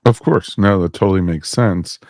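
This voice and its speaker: A man co-hosting a casual podcast review, relaxed and agreeable as he chimes in. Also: low voice, moderate pace, no room sound, clean studio sound. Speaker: podcast co-host.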